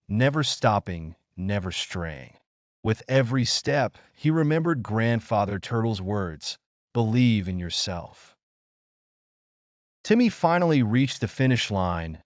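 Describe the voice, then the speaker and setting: A man narrating a scripted children's story in an expressive, measured storytelling manner. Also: lowish voice, no echo.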